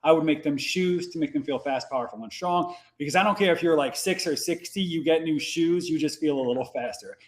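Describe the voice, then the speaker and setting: A man in his forties, a podcast guest telling the story of his nonprofit, energetic and playful. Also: a little high. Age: 40s. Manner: energetic, playful, quick-talking. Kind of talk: casual podcast conversation.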